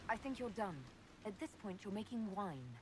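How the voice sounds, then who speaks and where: A woman playing a composed noble inquisition leader in a video game, firm, measured and direct. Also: medium pitch, big pitch swings, close to an everyday voice. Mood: dry. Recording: clear background noise in game.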